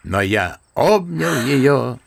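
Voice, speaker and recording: An actor playing an old general and grandfather, telling his memories in an unhurried, bookish, old-fashioned way in a radio drama. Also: mid-range voice, very animated, gravelly, a little hiss or hum, heavily acted. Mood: fond, bashful. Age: older. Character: old general and grandfather, unhurried naive storyteller, good-natured. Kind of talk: Russian radio drama acting.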